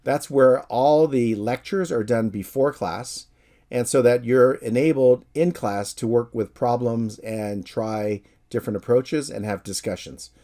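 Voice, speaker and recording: A middle-aged American man, a professor from San Francisco, giving a calm, friendly, measured course introduction. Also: medium pitch. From San Francisco, USA.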